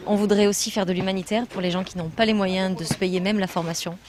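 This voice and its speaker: A woman's voice: medium pitch, fairly even intonation, fast talker, noisy background. A woman from France, a trainer with a building foundation, answering interview questions in an earnest, explanatory way with frequent hesitations.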